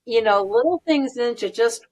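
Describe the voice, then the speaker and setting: A woman's voice: on the high side, expressive, normal speed. A woman in her fifties from the United States, chatting casually, rambling and laughing often. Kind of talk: casual chat.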